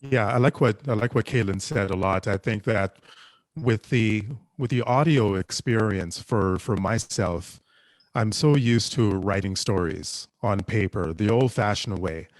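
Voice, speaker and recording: A man speaking reflectively as a writer on a discussion panel, haltingly repeating phrases as he thinks. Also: lowish voice, dry recording. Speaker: panelist, audio drama writer.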